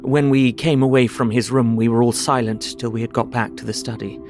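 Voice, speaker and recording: An actor playing an earnest, devoted husband reading his journal aloud in a measured way for an audio drama. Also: mid-range voice, steady tone, darkish tone, soft background music, close to an everyday voice. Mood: earnest, reflective.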